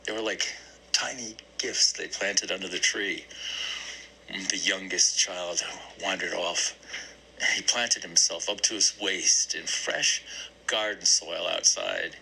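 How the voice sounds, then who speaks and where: Man acting a low, halting, brooding therapy patient. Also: fairly even intonation, gravelly, sharp tone, slight background noise, full character voice, rough recording. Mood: wistful. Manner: hesitant, searching, repeats phrases. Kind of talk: dramatic one-act play monologue.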